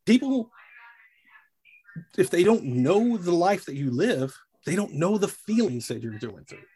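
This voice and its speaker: A middle-aged man, a voice actor, talking casually in an interview with a slightly husky voice and lively delivery. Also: sits high, measured pace, rough recording. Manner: animated, demonstrates character voices mid-sentence.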